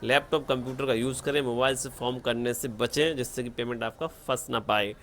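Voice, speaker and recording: Man, bright forceful voice, instructional screen tutorial, English terms mixed in, slightly noisy home recording. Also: mid-range voice, fairly even intonation, brisk. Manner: instructional, often checking with "okay"; mixes English terms into Hindi. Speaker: online tutorial presenter. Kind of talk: step-by-step screen tutorial narration.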